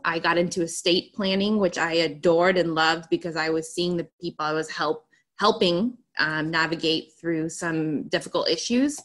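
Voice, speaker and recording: A woman's voice: sits low, a bit bright. A woman from Greece, a podcast guest, answering interview questions with enthusiasm in long run-on turns. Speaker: podcast guest, attorney and author.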